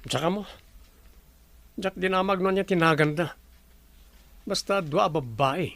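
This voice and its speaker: A man's voice: high-pitched, unhurried, a bit bright, no room sound. A man with an Ilocano accent playing a courteous, smitten resort owner in a comedy radio drama, speaking politely and welcomingly. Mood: smitten, musing. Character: courteous, smitten gentleman who owns a resort, Vince. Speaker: voice actor.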